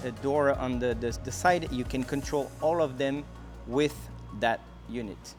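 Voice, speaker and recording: A man presenting a product demonstration in a conversational, explanatory way, going through things step by step. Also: medium pitch.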